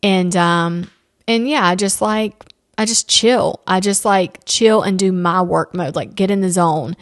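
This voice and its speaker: A woman's voice: fairly low pitch, a little slow, dry recording, studio quality. A woman in her thirties hosting a casual solo podcast, chatty and candid, with playful asides. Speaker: podcast host.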